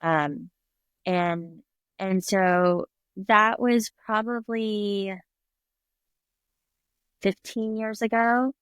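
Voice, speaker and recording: A woman's voice: mid-range voice, fairly even intonation, extremely slow, pauses often, smooth, no room sound. Chatty middle-aged woman, dry sound. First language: English. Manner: chatty, laughs often, acts out quoted voices. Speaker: restaurant owner, guest. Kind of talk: casual interview conversation.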